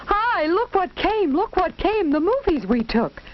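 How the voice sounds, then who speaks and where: Woman voicing an eager young boy, lively pitch. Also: measured pace, muffled recording, heavily acted. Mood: excited. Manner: excited, wholesome. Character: eager, helpful young boy in a 1940s family. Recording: band-limited 1940s film soundtrack, background noise.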